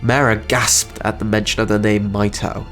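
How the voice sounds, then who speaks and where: A man's voice: fairly low pitch, flat delivery, normal speed, a bit bright, faint music behind. A man giving scripted narration as the narrator and creator of a story channel.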